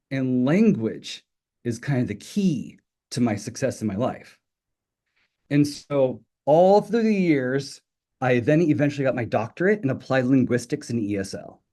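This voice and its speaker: Mellow-voiced man telling personal stories. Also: medium pitch. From Italy. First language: Italian. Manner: friendly, informal, storytelling. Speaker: guest speaker, linguistics professor. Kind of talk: personal talk on an online webinar.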